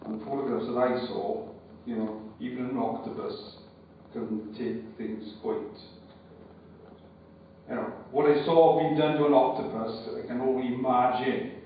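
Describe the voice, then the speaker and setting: A man's voice: mid-range voice, fairly even intonation, measured pace, a little hiss or hum. Man speaking far off in echoey hall. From Wales. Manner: hesitant, repeats words, cautious. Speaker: audience member speaking at a public meeting. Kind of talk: unscripted public speech. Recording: echoey hall, far from mic, dull sound.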